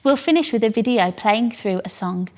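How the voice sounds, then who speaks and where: A young woman with a British accent gives a calm, earnest and encouraging scripted talk as a church worship vocal coach. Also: on the high side, moderate pace. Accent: British English.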